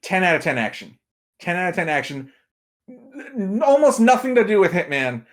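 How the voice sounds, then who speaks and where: Guy on a podcast talking movies and games style hot takes, kinda high voice, really emphatic. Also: big pitch swings, normal speed. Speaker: podcast guest, film and game pundit. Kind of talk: casual opinionated podcast chat.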